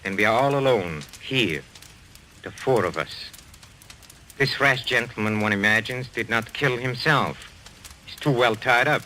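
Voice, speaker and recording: A man's voice: medium pitch, unhurried, bright, forceful tone. A man acting in an old-time radio mystery as a courteous, faintly mocking medium, speaking. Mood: grave, composed. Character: suave, theatrical Frenchman, a séance medium under suspicion. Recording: noisy old radio recording.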